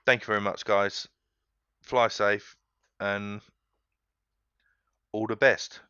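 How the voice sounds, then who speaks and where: A man hosting a casual game tutorial, walking viewers through each step in a relaxed, chatty way and often calling them guys. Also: fairly low pitch, slow pace, clear, resonant tone, no echo, dull audio. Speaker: gaming tutorial host.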